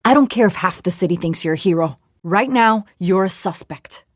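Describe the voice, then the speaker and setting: A woman's voice: on the low side, expressive, moderate pace, no room sound, clean studio sound. A woman playing a tough, suspicious police detective in a video game, firm and confrontational. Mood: hard threatening.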